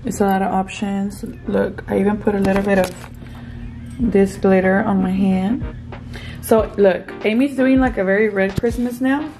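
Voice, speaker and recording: A woman's voice: mid-range voice, fairly even intonation, normal speed, warm tone. Woman in her twenties, playful teasing banter, laughs often, close handheld camera, music behind. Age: 20s. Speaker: vlogger. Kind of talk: casual chat. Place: hotel room, indoors.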